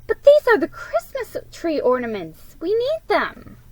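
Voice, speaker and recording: Woman playing young girl, children's story, high voice, earnest, sentimental, protesting. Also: huge pitch swings, unhurried, darkish tone, no echo, full character voice, poor audio.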